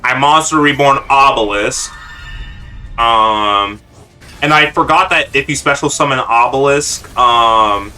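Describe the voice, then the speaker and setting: A man's voice: mid-range voice, takes it slowly, pushed and bright, clean tone, soft background music. A young man hosting his own gaming channel, giving casual, rambling commentary over his card-game matches with lots of fillers and self-deprecating asides.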